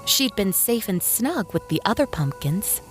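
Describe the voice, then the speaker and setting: Slow, expressive woman storyteller. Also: mid-range voice, soft background music. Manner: expressive, gentle, measured storytelling for young children. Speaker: storyteller, narrator. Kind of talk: scripted children's story narration.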